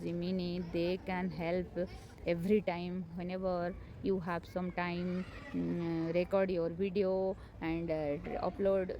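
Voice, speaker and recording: A woman's voice: lowish voice, darkish tone, a little hiss or hum. A woman whose first language is not English gives unscripted learning tips as a homemaker, hesitant and encouraging, with many fillers and restarts. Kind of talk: unscripted motivational talk to camera. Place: home.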